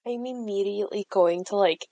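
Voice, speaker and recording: A woman's voice: mid-range voice, moderate pace, dark tone, slight background noise. A woman playing a tabletop game, talking casually as she describes her actions. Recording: music under the voice.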